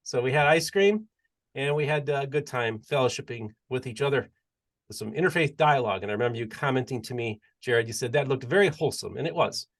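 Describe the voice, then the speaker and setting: A male podcast host in casual conversation with his guests. He is talkative and leads with long, winding questions, in a voice of ordinary middle pitch. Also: fairly quick. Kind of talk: casual interview chat.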